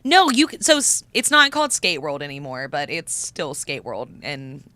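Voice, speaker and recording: A young woman guest on a podcast with a deep, slightly raspy voice, chatting casually, laughing often and swearing freely. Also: very animated, normal speed, sharp tone, no echo. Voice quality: deep, raspy at times from vaping. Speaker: podcast guest, content creator.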